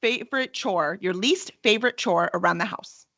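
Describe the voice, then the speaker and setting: A woman's voice: medium pitch, moderate pace, pushed and bright. A middle-aged woman hosting a podcast in casual interview chat, talkative and encouraging. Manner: chatty, encouraging. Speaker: podcast host.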